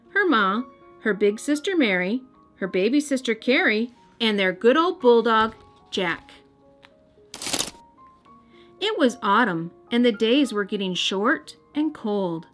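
An American woman reading a storybook aloud for young children in a gentle, careful storytelling manner. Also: a little high, lively intonation, a little slow. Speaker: children's storybook reader and host. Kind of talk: scripted read-aloud narration. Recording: music under the voice.

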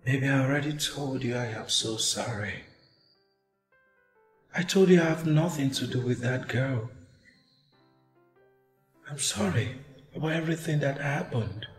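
A man's voice: mid-range voice, animated, measured pace, long pauses, natural voice. An actor playing a remorseful man who pleads with his partner for forgiveness. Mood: pleading. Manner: pleading, apologetic. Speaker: actor in a relationship skit. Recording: echoey room with faint music.

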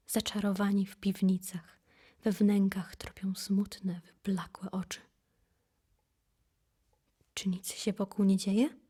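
A woman reciting poetry for an audio drama in an intense, solemn, deliberate manner. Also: mid-range voice, dark tone, slightly rough. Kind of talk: dramatic poetry recitation, from whisper to impassioned cries. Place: recording studio.

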